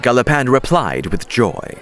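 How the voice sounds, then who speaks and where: A man's voice: medium pitch, sing-song, unhurried, fairly bright tone. A man narrating a scripted story as an audiobook narrator.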